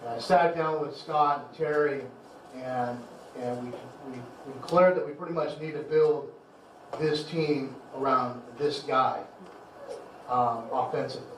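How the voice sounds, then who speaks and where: A man who coaches high school football, giving a heartfelt banquet speech, halting and repeating words as emotion catches him. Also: fairly even intonation. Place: banquet hall. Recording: echoey hall, distant mic, faint noise.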